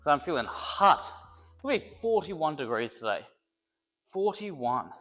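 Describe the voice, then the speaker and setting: A man's voice: a little high, big pitch swings, unhurried, fairly bright tone. A young man, a personal trainer, chatting casually and joking while he works out, a little congested from a cold. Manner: rambling, joking, thinks aloud between sets. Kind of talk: casual workout vlog talk.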